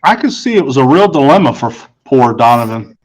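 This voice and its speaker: A man narrates a story in the first person, reading from a script as an audiobook reader. His voice sits at a middle pitch for a man. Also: big pitch swings, fairly quick, poor audio.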